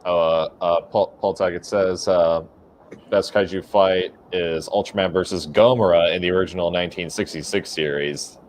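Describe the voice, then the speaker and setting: Deep-voiced hesitant man. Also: fairly even intonation, normal speed, darkish tone, slight background noise. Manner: hesitant, many filler sounds. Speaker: podcast guest. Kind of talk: casual podcast chat.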